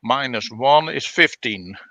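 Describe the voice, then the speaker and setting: A man talking casually as a player in a tabletop roleplaying game, halting as he thinks aloud. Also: medium pitch, expressive, sharp tone, no room sound, muffled recording. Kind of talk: casual chat during game play.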